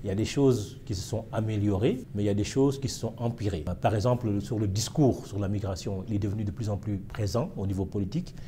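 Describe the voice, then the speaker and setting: A man's voice: fairly low pitch, fast talker, hardly any pauses, dark tone, a little hiss or hum. A man from Senegal, a historian, answering interview questions in a reflective way with frequent fillers and hesitations. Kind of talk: casual interview.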